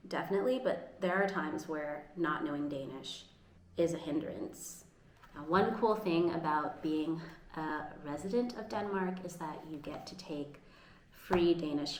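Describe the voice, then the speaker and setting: An American woman vlogging casually at home, earnest and upbeat, sometimes restarting her sentences. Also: low-pitched. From United States. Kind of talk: casual vlog talk. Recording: echoey room with faint ambience.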